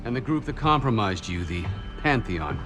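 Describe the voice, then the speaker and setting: A man's voice: lowish voice, sing-song, normal speed, music in the background. An actor playing a stern, sceptical senior intelligence official in a video game, sarcastic, accusatory and clipped in his authority. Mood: cold, probing. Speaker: superior interrogating his team. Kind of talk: cinematic video game acting.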